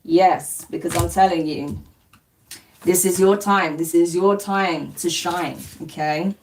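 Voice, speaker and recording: A woman's voice: lowish voice, fairly even intonation, takes it slowly, a little mellow, far from the mic, slight background noise. A woman from London with a slight New Zealand twang, giving a reassuring live spiritual reading to viewers, often checking in with "okay?" and "right?". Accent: London English with a slight New Zealand twang. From England. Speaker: spiritual reader. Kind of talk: live-stream collective tarot reading.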